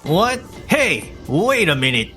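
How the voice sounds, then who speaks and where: A man's voice: sits high, sing-song, slow pace, sharp tone, full character voice. Guy doing whiny selfish cheater husband, manga dub, voice jumps all over, bit of music behind. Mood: startled. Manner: indignant, whiny blustering.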